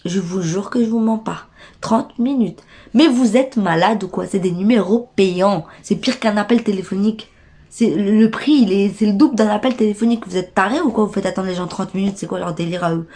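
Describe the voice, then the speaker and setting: A woman's voice: medium pitch, fairly quick, dark tone. A young woman with a stuffy nose from a cold tells an indignant, slangy story straight to her viewers. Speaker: vlogger. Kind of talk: casual storytime rant.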